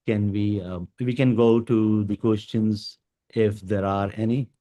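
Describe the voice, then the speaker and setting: A man's voice: lowish voice, fairly even intonation, measured pace, warm tone. Hesitant man, Urdu native speaker. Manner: hesitant, many fillers. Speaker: guest speaker. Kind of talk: educational talk.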